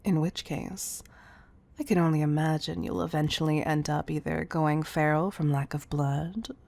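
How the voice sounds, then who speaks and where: A woman's voice: low voice, fairly even intonation, moderate pace, gravelly, a little mellow. A woman playing a condescending billionaire CEO who gives commanding tough love in an intimate audio roleplay. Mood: stern, commanding.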